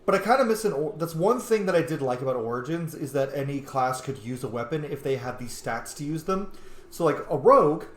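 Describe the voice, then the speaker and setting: A man's voice: a little high, expressive, brisk. A man giving casual, enthusiastic, rambling commentary as he plays a game. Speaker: gamer commentating on his playthrough. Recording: echoey room.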